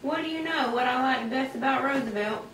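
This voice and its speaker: A woman's voice: high-pitched, fairly quick, fairly bright tone. A woman playing a polite, curious small boy in an amateur puppet skit. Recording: echoey room, distant mic, noisy.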